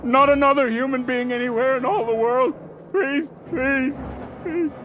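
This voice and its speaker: A man's voice: extremely high pitch, measured pace, highs cut off, background noise. An actor playing a desperate man, a lone survivor pleading in anguish in a radio drama. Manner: pleading, repeating words in anguish.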